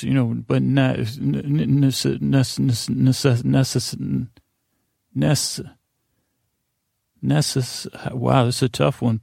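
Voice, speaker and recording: A man with a gravelly, relaxed voice rambles through a bedtime podcast monologue. He stammers and doubles back on himself, self-deprecating as he goes. The sound is close and dry, with no room on it. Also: mid-range voice, dry recording. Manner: meandering, halting, stammering, self-interrupting. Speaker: podcast host.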